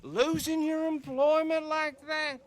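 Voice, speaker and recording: An actor playing a drunken older county sheriff in a western game, with a Southern US accent and a slurred, courtly, rambling manner. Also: male voice, very high-pitched, level tone, takes it slowly. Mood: courtly, tipsy. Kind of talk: western video game acting.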